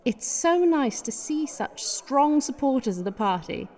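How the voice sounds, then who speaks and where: An actress playing a dry, cynical junior politician in a radio play, wry and blunt. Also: female voice, high voice, lively intonation, unhurried, a little mellow, long reverb tail. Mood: falsely gracious.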